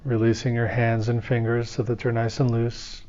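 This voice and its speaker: Male meditation teacher, calm and instructive, level monotone delivery, muffled lo-fi sound. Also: medium pitch. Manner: slow, soothing, gently instructive. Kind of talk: guided meditation.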